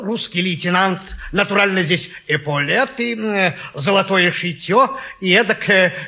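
A man's voice: high voice, sharp tone, full character voice. An actor playing a boastful, gallant retired naval lieutenant who tells tall tales in a stage comedy, hemming and savoring as he goes. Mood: dreamy, relishing. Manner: hesitant hemming, savoring storyteller. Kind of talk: classic stage comedy acting.

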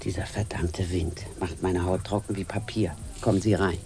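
A woman's voice: very deep voice, steady tone, normal speed, darkish tone, faint music behind. An actress playing a brash, greedy, hard-edged woman in a noir radio drama, brusque and suspicious. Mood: cynical, irritated.

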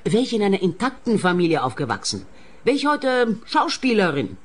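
Bitter, swooping, scornful daughter. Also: female voice, medium pitch, big pitch swings, a little fast, slightly rough. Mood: indignant, bitter. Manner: indignant, cutting, dramatic. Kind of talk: radio comedy-crime acting.

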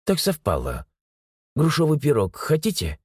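A man's voice: on the high side, wildly swooping pitch, moderate pace, husky, dry recording, pitched above the performer's own voice, heavily acted. A male narrator voicing a blunt, dry-humored young woman in an audiobook. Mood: wry.

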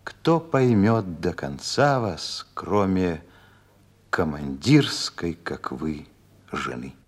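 A man's voice: low voice, sing-song, drawn-out words, husky, exaggerated voice. A male actor playing a solemn, compassionate narrator who speaks in dramatic verse in a radio play. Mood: grave, tender.

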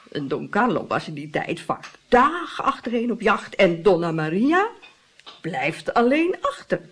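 A woman's voice: mid-range voice, heavily acted. A woman playing a hearty, gossipy Italian innkeeper who relishes telling scandal in a Dutch radio play. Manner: theatrical, relishing storytelling with exclamations. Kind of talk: Dutch radio drama acting.

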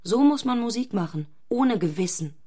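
A woman's voice: medium pitch, animated, moderate pace, dark tone, close to an everyday voice. A woman playing an intense, fanatical, provocative married woman in a literary radio drama. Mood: fervent.

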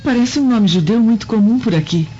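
An actress in a radio drama playing a gentle, candid Roman wife who questions softly. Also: female voice, a little high, expressive, a little fast, close to an everyday voice. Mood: puzzled, reproachful. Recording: muffled radio drama, faint music.

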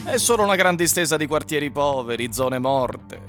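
An actor playing a grim train passenger in a radio drama, telling of desolation in measured, literary phrases. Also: male voice, lively intonation, a bit bright. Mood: grim, insistent. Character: grim male fellow train passenger telling of dying cities. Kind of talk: theatrical radio drama acting and storytelling. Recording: music under the voice. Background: plucked guitar or bass music.

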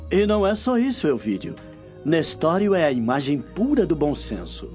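Flamboyant young-spirited noble played by a man, animated, theatrical, muffled radio play audio. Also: on the high side, normal speed. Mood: admiring. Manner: effusive, theatrical. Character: enthusiastic, flamboyant Roman nobleman, charming admirer. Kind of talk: radio drama acting. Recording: dull lo-fi audio with music.

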